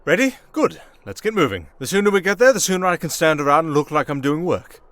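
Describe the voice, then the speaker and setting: A man's voice: fairly high pitch, sing-song, quick pace, fairly bright tone, slight background noise. Man as a grumbling servant, faint background ambience. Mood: grudging. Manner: grumbling, exasperated, casual. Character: grumpy, put-upon, work-shy male servant of a vampire lord. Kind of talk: ASMR roleplay acting.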